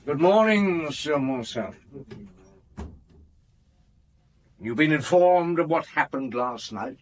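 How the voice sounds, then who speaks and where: An actor playing a weary, probing middle-aged police chief inspector in a radio drama, deliberate and authoritative, with a husky voice. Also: male voice, fairly high pitch, expressive, natural voice. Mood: stern, accusing. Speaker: lead actor and narrator in a radio detective drama.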